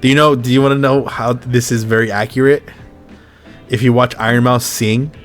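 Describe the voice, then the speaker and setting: A young man with a rough, gravelly-edged voice hosts a casual podcast at a middle pitch. He talks in a loose, laid-back way and swears a lot. Soft music plays faintly behind him. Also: steady tone, moderate pace. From Mexican heritage. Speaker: podcast host. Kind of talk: casual chat.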